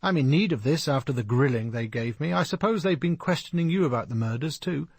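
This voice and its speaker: A man's voice: a little high, expressive, brisk, no echo, studio quality. A man voicing a sociable, insinuating upper-class Englishman in a radio play, with a breezy, probing British manner of talk. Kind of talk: radio drama acting.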